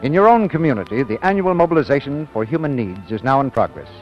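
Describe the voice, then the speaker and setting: A man's voice: medium pitch, very animated, quick pace. An American man announcing for 1940s radio in a formal, emphatic, polished style. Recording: dull 1940s radio broadcast. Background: music.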